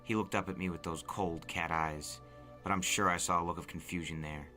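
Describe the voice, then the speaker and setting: A man narrates a story in a fairly low, bright voice. His reading is expressive and suspenseful, in a dramatic audiobook style, with light music behind him. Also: brisk. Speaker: narrator and reader. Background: music bed.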